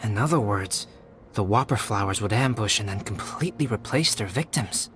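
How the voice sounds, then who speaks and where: An actor playing a calm, scholarly young male alchemist in a video game, telling things in a composed, precise, articulate way. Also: fairly low pitch, normal speed, music in the background, natural voice. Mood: politely amused. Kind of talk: video game acting, measured storytelling.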